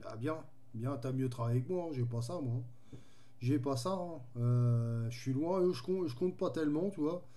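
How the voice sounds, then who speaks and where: A man's voice: medium pitch, moderate pace, dark tone. A man chatting casually as a radio hobbyist, easygoing and rambling in his way of talking. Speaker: amateur radio operator.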